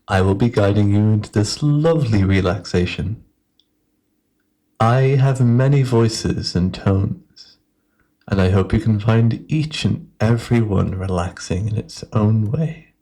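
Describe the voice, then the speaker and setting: A man's voice: lowish voice, measured pace, mellow. A man giving a soothing scripted introduction as a hypnosis ASMR performer. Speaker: roleplay voice performer.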